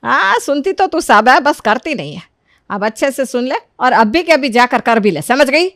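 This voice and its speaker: A woman's voice: on the high side, animated, moderate pace, dry recording, clean studio sound, voice pushed up, natural voice. A woman voicing a middle-aged mother in a family story, exasperated but caring, with emphatic motherly talk. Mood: exasperated, scolding.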